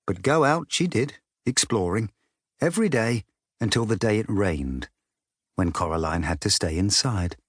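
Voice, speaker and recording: A male audiobook narrator reads a children's story. His voice sits fairly low but moves expressively up and down, recorded very dry and close. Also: lively intonation, normal speed, slightly rough, dry recording.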